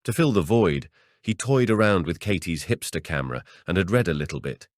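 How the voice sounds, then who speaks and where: A man's voice: deep voice, animated, brisk, dry recording, studio quality. A man narrating a horror audiobook as a scripted story reading.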